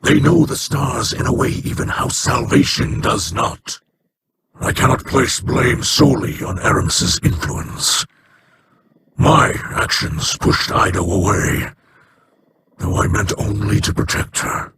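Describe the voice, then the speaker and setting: Brief: a measured, formal game ally, gravelly and slow-spoken. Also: male voice, on the low side, very noisy.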